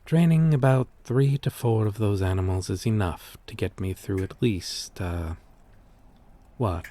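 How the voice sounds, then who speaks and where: Weary gentle vampire outcast, played by a man, very slow delivery, lowish pitch, hesitant and formal, intimate ASMR roleplay. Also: dry recording, close to an everyday voice. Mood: earnest, wistful. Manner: hesitant, confessional, formal old-fashioned wording.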